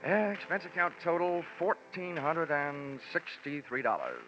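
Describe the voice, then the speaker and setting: A man's voice: a little high, very animated, measured pace, soft background music. An actor playing a wry, wisecracking insurance investigator who narrates his case in a 1940s radio detective drama. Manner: wisecracking, sardonic. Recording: muffled vintage radio recording.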